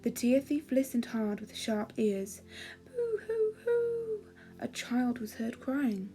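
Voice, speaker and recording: A woman storyteller giving a calming, expressive, measured reading of a children's fairy story. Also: on the high side, music in the background.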